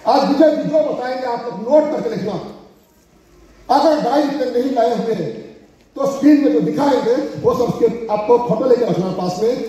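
A man's voice: very high voice, moderate pace, dark tone. A middle-aged man with an Odia accent gives a rousing motivational stage speech, mixing Hindi and English and calling for applause. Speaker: motivational speaker at a business seminar. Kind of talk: stage speech to a large audience. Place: large auditorium stage. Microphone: handheld mic heard from far off. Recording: echoey hall, distant mic, crowd behind.

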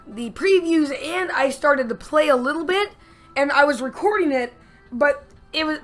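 A young woman giving excited gameplay commentary with a strained, worn voice, yelping and laughing at the action. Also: sits high. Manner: yelps and shrieks at the action, laughs a lot. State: strained, losing her voice. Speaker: let's play gamer. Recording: faint game music behind.

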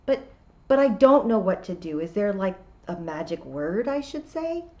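An earnest little girl learning to be a witch, voiced by a woman reader. She sounds frustrated and questioning, with lively, rising and falling pitch. The storybook recording is a bit dull. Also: measured pace. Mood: pleading. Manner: plaintive, questioning, insistent.

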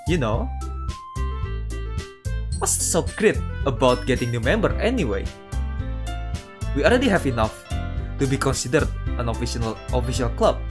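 Halting male game streamer over music. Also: mid-range voice, big pitch swings. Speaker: streamer playing a visual novel. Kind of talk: reading game dialogue aloud with casual commentary. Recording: music playing behind the voice. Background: guitar game music.

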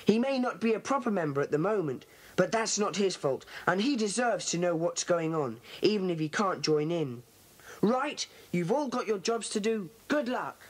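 Children's drama role: confident British boy leader, husky, expressive, commanding. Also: mid-range voice, normal speed. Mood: brisk, commanding. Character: bossy, confident schoolboy club leader. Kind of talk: children's audio drama acting.

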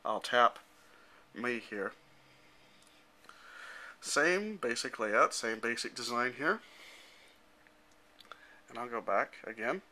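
A man in his thirties giving an informal, matter-of-fact demonstration as a software developer, explaining things step by step into a handheld camera. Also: medium pitch, animated, takes it slowly, long pauses. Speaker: software developer presenting a demo. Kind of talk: informal product demonstration narration. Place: indoor room at home. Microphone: handheld camera microphone.

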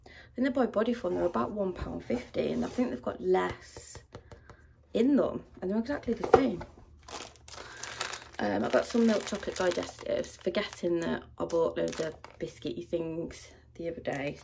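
Young woman vlogger with a deep, gravelly, fry-heavy voice, rambling chat, faint hiss behind. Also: normal speed. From United Kingdom. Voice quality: low, gravelly voice. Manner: chatty and rambling, with many ums and likes. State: dry throat. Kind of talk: casual grocery haul chat. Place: at home.

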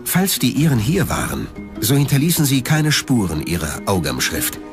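Guy narrating a documentary, lively intonation, music under it. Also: medium pitch, normal speed. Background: background music.

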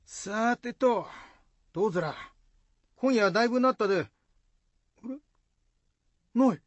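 A woman playing an excitable, easily spooked rustic husband in a folk-tale radio drama, blunt and husky, with Shizuoka dialect. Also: a little high, measured pace, no echo. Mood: puzzled. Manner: blunt, rough rustic speech.

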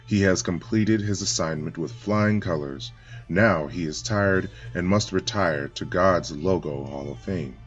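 Man, very deep voice, solemn scripted church narration, dull lo-fi sound with soft music behind. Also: husky. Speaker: church spokesman.

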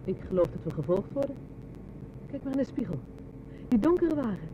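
Dull, noisy recording of forthright wife. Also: female voice, a little high, big pitch swings, brisk. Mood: concerned, watchful. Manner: blunt, advising. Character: cool, forthright wife, well-to-do. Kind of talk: Dutch radio play acting. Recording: dull, noisy old radio-play recording.

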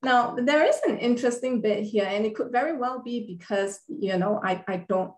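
A woman's voice: fairly high pitch, moderate pace. A woman giving a formal online lecture over slides, explaining laws carefully and measuredly, with occasional fillers. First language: not Thai. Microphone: video call. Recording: roomy echo on a video call.

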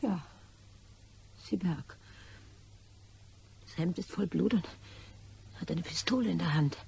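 Woman acting a very husky, scornful schemer. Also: on the low side, slow, a little mellow, full character voice. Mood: puzzled, startled. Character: callous, scornful, opportunistic schemer short of money. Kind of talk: German crime radio play acting.

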